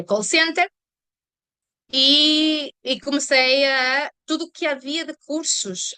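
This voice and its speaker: Interview guest: woman in her 40s, European Portuguese accent, raised-pitch voice with a smooth tone, earnest storytelling, on a video call. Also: fairly bright tone, dry recording. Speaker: Portuguese teacher.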